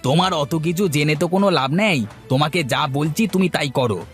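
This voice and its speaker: A man's voice: a little high, moderate pace, soft background music, lightly acted. Man acting a sulky, hot-headed young son. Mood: demanding. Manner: sulky, complaining. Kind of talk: Bengali fairy-tale cartoon dialogue.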